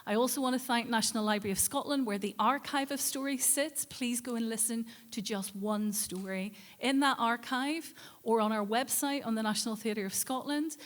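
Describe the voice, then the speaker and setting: Woman, award winner, fairly high pitch, deliberate, emphatic, earnest, acceptance speech.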